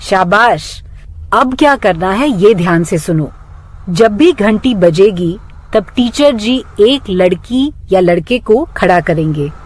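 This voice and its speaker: Very slow-paced woman teaching English. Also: medium pitch, lively intonation. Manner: instructional, deliberate, spaced-out phrasing. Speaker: English teacher for Hindi-speaking children. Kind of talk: scripted lesson with phrases to repeat.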